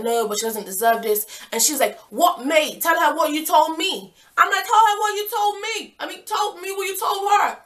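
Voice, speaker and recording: A woman's voice: high voice, fairly bright tone. A young woman tells a casual personal story, slangy and dramatic, acting out each side of the dialogue. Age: young adult. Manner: slangy, dramatic, quotes people with exasperated emphasis. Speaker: storytelling vlogger.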